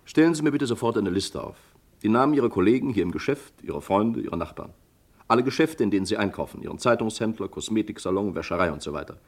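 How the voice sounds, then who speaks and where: An actor playing a practical police detective's assistant in a 1950s German radio crime play, polite and businesslike. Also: male voice, fairly low pitch, expressive, fast. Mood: brisk, instructive.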